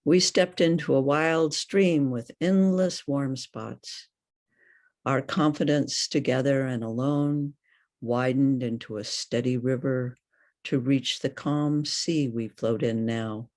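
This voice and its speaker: An older woman reading poetry aloud in a deliberate, questioning manner. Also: a bass voice, a little mellow. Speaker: poet.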